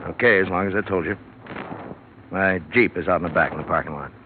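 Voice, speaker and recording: An actor playing an easygoing but gruff rural sheriff in an old radio drama, with a folksy, plain-spoken way of talking. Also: male voice, sits low, fast, close to an everyday voice. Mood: calm. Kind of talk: 1950s radio drama acting. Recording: tinny lo-fi old radio broadcast with hiss.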